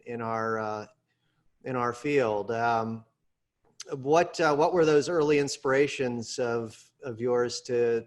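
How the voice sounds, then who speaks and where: A male interview host asks his guest questions in an admiring way. He hesitates often and fills his pauses with "uh" as he shapes each question. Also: medium pitch. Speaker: interview host, executive director. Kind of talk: interview conversation.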